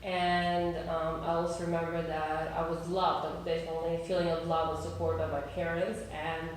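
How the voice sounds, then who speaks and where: Russian-born woman guest, low voice, echoey. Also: monotone, fairly quick, distant mic, a little hiss or hum. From Russia and Armenia. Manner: hesitant, many fillers and restarts, frequent laughs. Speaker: interview guest. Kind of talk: casual interview, personal story.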